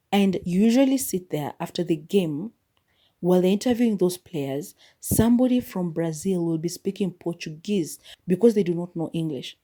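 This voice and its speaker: A woman's voice: on the low side, warm tone. A young woman hosting a solo podcast, speaking her mind candidly and mocking what others say. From Kenya. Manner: opinionated, candid, mock-quotes people. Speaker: podcast host.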